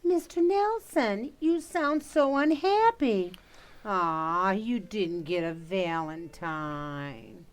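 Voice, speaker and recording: A woman's voice: mid-range voice, expressive, extremely slow. A woman playing a sweetly sympathetic young neighbourhood girl in an old-time radio comedy.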